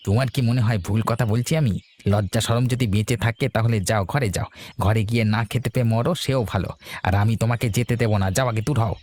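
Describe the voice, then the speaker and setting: A man's voice: medium pitch, steady tone, fast talker, a little mellow, natural voice. A man playing a rude, spiteful village man in a fairy tale cartoon, sneering and hectoring as he talks. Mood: scornful, threatening.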